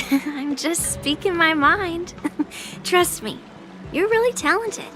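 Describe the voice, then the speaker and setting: A woman's voice: extremely high pitch, animated, slow, over music, exaggerated voice. A woman voicing a confident, frank, teasing young female swordmaster in an English video game, blunt and breezy. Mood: frank, amused.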